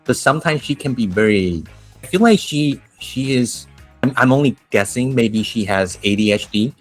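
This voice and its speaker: A man with a Taiwanese accent who teaches English, chatting casually and telling animated stories with laughs and restarts. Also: medium pitch, moderate pace, soft background music. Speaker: English teacher, podcast host. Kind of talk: casual chat.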